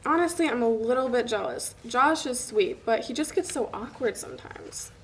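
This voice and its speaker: A woman's voice: on the high side, animated, moderate pace, lightly acted. A young woman acting a teasing, candid female college best friend in a table read, with casual delivery. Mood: candid, chatty. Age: young adult. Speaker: actor at a script table read. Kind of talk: casual dialogue read aloud from a script. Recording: noisy room recording.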